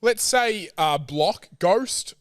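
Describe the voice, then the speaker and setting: A man's voice: high-pitched, expressive, very slow, dry recording, studio quality. A man with an Australian accent co-hosting a podcast, chatting casually and swearing freely. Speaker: podcast host. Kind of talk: casual banter, frequent swearing.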